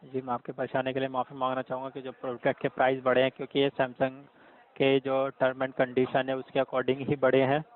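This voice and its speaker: A man's voice: mid-range voice, monotone, moderate pace. A man working as a customer care agent, answering with polite, formal, apologetic phrases over a recorded phone call. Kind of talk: scripted call-center politeness.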